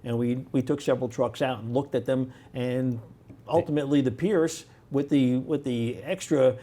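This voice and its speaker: Very mellow, soft-edged man explaining. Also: medium pitch, fairly even intonation, normal speed. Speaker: firefighter, interview guest. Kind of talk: conversational explanation.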